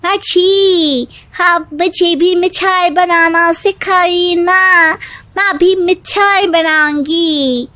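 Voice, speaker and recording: A woman plays a sweet young daughter-in-law in an animated moral tale. The voice is very high and girlish, with slow, polite, eager delivery. The sound is close and dry. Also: dry recording, heavily acted. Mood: eager, curious. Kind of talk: Hindi animated moral story acting.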